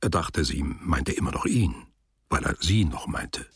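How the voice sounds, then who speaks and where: A man narrating a literary radio drama in measured, deliberate phrases. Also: extremely low pitch, animated, soft background music.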